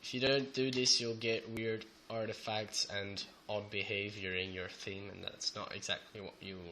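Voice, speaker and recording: A young man with a Belgian Dutch accent, sick and a little off in voice, walks through code at a slow, halting pace full of fillers. His voice is fairly low yet bright. Faint noise and keyboard typing sit behind him. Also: flat delivery. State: sick, voice sounds off. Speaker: programming tutor. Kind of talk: screen-recorded coding tutorial. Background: keyboard typing and clicks.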